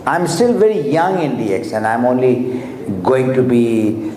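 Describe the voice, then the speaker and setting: A man's voice: medium pitch, very animated, measured pace, warm tone, slight background noise. A man whose first language is Urdu or Hindi, giving a motivational talk to an audience, earnest and coaxing, asking them questions. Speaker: motivational speaker. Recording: echoey hall recording.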